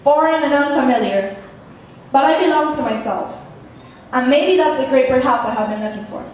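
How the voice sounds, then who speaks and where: A woman with a Spanish accent performing spoken word poetry, reciting earnestly and measuredly with pauses. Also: high voice, a little mellow, distant mic. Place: echoey poetry venue, performing seated. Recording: echoey room, voice from afar, faint hum.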